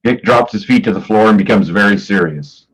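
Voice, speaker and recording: Low-voiced man presenting a staged reading. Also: fairly quick, a bit bright, slightly rough, poor audio. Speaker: stage directions reader and event announcer. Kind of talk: scripted narration of stage directions.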